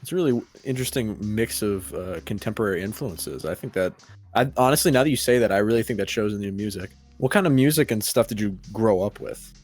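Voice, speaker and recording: A man hosting a podcast, talking in a relaxed way, swearing casually and laughing often. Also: lowish voice, fast talker, faint music behind. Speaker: podcast host.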